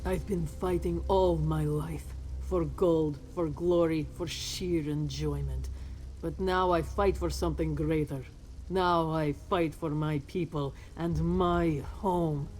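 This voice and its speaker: Slow, dark-voiced, fierce cat-folk woman fighter. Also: low voice, steady tone, slight background noise. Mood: proud, determined. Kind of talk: video game voice acting, dramatic fantasy dialogue. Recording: game audio with faint background ambience.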